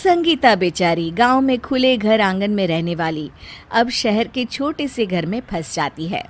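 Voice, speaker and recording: A woman's voice: mid-range voice, expressive, measured pace. A woman storyteller reading a scripted family tale as narration.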